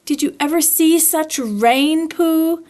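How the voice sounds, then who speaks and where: A woman's voice: high voice, slow pace, darkish tone, pitched above the performer's own voice. A woman voicing a small, timid young male piglet in a storybook, wistful and fretful. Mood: chatty. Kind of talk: storybook read-aloud.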